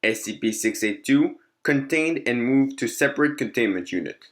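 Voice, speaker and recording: Male narrator, mid-range pitch, formal and measured, for scripted documentary-style fictional file readings. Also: animated.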